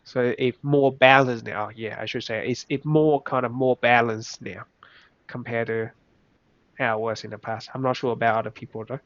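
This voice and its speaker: A young man from Vietnam with a Vietnamese accent, speaking English as a second language in a casual interview, halting, with many restarts. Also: medium pitch, steady tone, a bit bright, dry recording. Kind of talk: casual reflective chat. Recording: slightly dull, dry recording.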